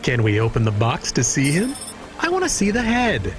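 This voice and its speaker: An actor playing a childlike, earnest talking dog companion with a simple, guileless manner in a video game. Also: male voice, on the high side, huge pitch swings, normal speed, music in the background. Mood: curious, eager.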